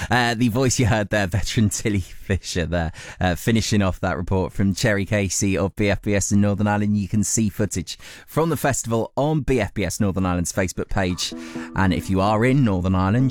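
A man radio presenter doing a relaxed, measured studio link. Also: on the low side, no echo.

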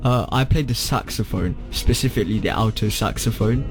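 Male interviewee, band player, earnest delivery, lower register, music underneath. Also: brisk. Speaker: young band member, saxophonist, interview guest.

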